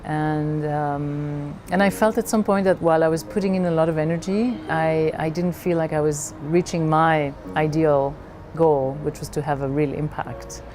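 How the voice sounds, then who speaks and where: A middle-aged woman from Belgium telling her personal story in a reflective way, haltingly searching for her words. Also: deep voice, steady tone, darkish tone, soft background music. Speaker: leadership coach.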